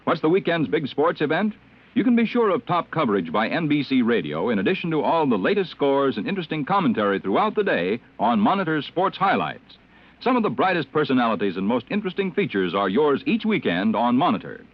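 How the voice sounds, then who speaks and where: A man's voice: mid-range voice, sing-song, a little fast. A man reading a scripted 1950s radio promo as a polished network announcer. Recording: dull vintage radio recording.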